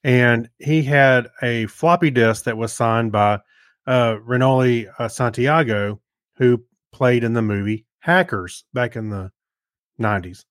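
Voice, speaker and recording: A man's voice: medium pitch, a little slow, no room sound, clean studio sound. A man co-hosting a podcast, chatting in an informal, rambling way. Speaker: podcast co-host. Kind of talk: casual chat.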